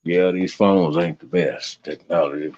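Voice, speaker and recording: A man with a deep bass voice hosting a casual livestream chat, given to preachy rants. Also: steady tone, a little slow, darkish tone, muffled recording. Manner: ranting, preachy asides. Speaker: stream host.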